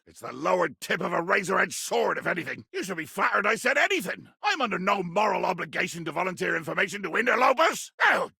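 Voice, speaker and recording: An actor playing a small, hot-tempered, pompous pirate in a video game, with a gravelly, raspy voice and florid, theatrical, old-fashioned phrasing. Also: male voice, sits high, pushed and bright, no echo, clean studio sound, heavily acted. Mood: indignant. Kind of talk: video game character acting.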